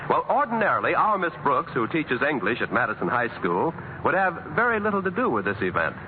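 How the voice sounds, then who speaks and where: Thin-sounding vintage radio narrator. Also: male voice, on the high side, big pitch swings, a little fast, slight background noise. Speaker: radio announcer. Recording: thin phone-like old radio sound with music.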